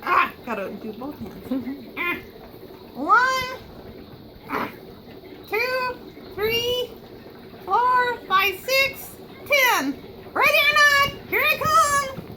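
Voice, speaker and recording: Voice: extremely high pitch, very animated, very slow, pauses often. A playful, giggly puppeteer improvising a puppet show with bits of singing, in a noisy home recording.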